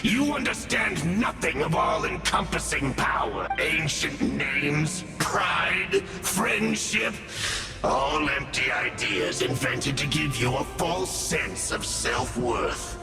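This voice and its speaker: An actor playing an arrogant, contemptuous abyssal monster in a video game, with grandiose, theatrical, taunting delivery. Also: male voice, a little high, animated, takes it slowly, gravelly, full character voice. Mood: scornful taunting. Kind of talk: scripted video game villain acting. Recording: very echoey long reverb, music behind.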